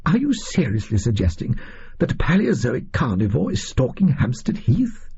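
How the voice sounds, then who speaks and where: A man playing a sceptical Victorian gentleman, the detective's indignant and incredulous companion, in an audiobook mystery. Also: mid-range voice, wildly swooping pitch, moderate pace, creaky, slightly rough, dull audio, full character voice.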